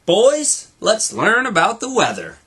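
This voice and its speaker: Guy doing a friendly cartoon mouse uncle for a kids storybook, pitched up, really bouncy delivery, bit husky. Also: wildly swooping pitch, unhurried, pushed and bright, full character voice. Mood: cheerful, eager.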